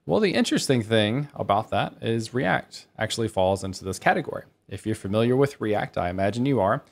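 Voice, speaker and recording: A man's voice: on the low side, lively intonation, brisk, creaky. A man in his thirties presenting a technical talk to developers in an enthusiastic, conversational way, like a teacher explaining concepts. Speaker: conference speaker and developer educator.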